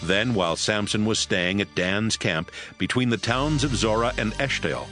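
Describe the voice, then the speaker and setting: A man narrating a Bible story in a steady, storytelling read. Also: on the low side, normal speed, faint music behind. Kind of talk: scripted scripture narration.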